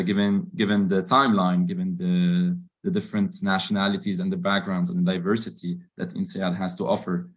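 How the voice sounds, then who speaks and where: Man, 30s, low voice, halting and filler-heavy, student council member. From Lebanon. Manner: hesitant, many fillers and "I would say". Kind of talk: interview answers.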